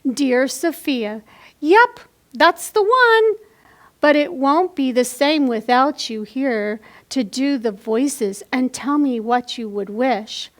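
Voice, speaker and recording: A woman's voice: sits high, expressive, slow. A woman reading a picture book as a loving, playful mother writing letters to her daughter. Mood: tender, wistful.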